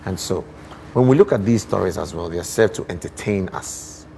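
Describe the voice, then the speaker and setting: A Ghanaian man explaining things as a guest in a TV interview, expressive and deliberate, often posing rhetorical questions. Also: sits low, gravelly, a little hiss or hum. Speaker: guest poet and storyteller.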